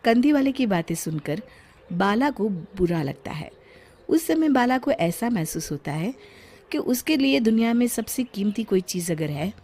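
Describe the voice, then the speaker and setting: A woman's voice: medium pitch, a little mellow, slightly rough. Woman narrating a children's story.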